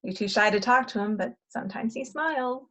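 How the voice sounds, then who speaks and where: A woman's voice: on the high side, brisk, a bit bright. A woman playing a jaded, insistent time traveler in a stage comedy, urgent and emphatic with a wry edge. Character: insistent, jaded older self from the future. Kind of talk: stage comedy acting in a table read.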